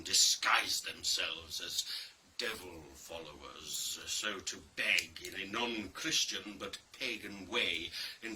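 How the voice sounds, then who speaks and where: Slow, theatrical male storyteller with a sharp voice. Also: low voice. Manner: theatrical, deliberate, with pauses and emphasis. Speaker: reader of a play. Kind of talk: dramatic scripted narration of a dark story. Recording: distant mic with faint background noise.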